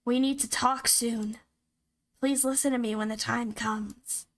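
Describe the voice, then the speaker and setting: A woman's voice: fairly high pitch, fairly even intonation, unhurried, creaky. A woman voicing a cute, secretive little talking food creature from a game, chirpy and conspiratorial. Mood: hushed, urgent. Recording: music behind the voice.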